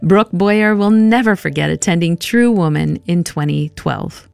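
A woman's voice: lowish voice, measured pace, vocal fry, a little mellow, smooth, no echo, clean studio sound. A woman reading scripted documentary narration, introducing people and events. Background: instrumental music.

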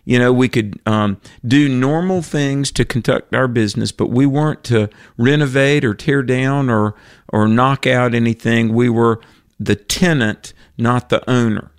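A man in a conversational faith discussion on a radio show, speaking earnestly at a middle pitch. He quotes verses and restarts his sentences often. The sound is dry and close, with no room around it. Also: unhurried, clean tone, no echo. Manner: earnest, quotes scripture, frequent fillers and restarts.

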